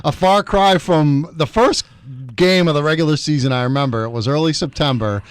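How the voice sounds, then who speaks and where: A man's voice: on the high side, fairly quick, clear, resonant tone, no echo. A man hosting a sports radio show from the studio, chatty and wry with chuckling asides. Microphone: studio microphone.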